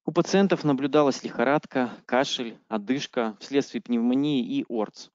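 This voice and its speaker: Halting male interpreter on a dry call. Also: medium pitch, a little mellow, dry recording. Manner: halting, with frequent fillers and self-corrections. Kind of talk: consecutive interpreting. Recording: dry, dull lo-fi call audio.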